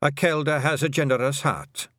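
A man putting on a tiny old fairy matriarch for a storybook reading, pitched high above his own voice. She is bossy and shrewd, with a broad lilting rural brogue. The sound is close and dry. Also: fairly even intonation, moderate pace, a bit bright, no echo, close to an everyday voice. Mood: weary, wry. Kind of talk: audiobook character voice.

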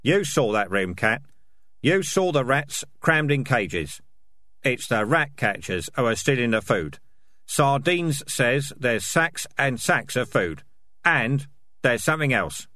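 Man doing a tough, blunt rat fighter, raised pitch. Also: sing-song, slow pace, exaggerated voice. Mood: angry. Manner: snappish, forceful, blunt.

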